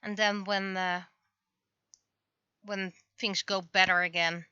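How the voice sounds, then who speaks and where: A woman chatting casually on a livestream as an artist at work, speaking haltingly as she thinks aloud. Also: mid-range voice, flat delivery, sharp tone, smooth, no echo, lo-fi sound.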